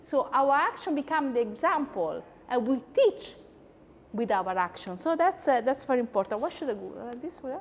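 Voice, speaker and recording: Italian-accented woman host, high bright voice, chatty, long reverb. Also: moderate pace, a little hiss or hum. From Italy. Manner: chatty, animated, frequent asides. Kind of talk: lecture-style talk.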